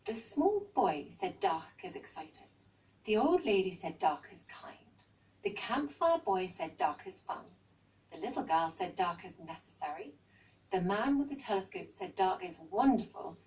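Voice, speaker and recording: A woman's voice: mid-range voice, sing-song, measured pace, darkish tone, distant mic, poor audio. A woman with an English accent voicing an earnest, childlike young male owl in a storybook. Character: eager young male barn owl, timid of the dark.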